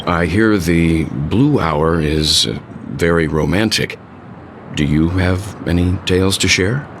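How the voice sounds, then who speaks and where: A deep, calm, inquisitive traveler. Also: male voice, slow, noisy background. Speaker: ranger companion asking questions. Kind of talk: game voice acting. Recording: game audio with clear music behind.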